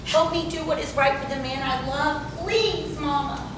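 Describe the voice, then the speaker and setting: A woman's voice: normal speed, lightly acted. A woman playing a fiercely loyal, strong-willed working-class wife in a stage tragedy reading, insistent and firm. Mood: earnest pleading. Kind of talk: theatrical table reading of Southern Gothic tragedy. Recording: very echoey, distant room with rumbling noise.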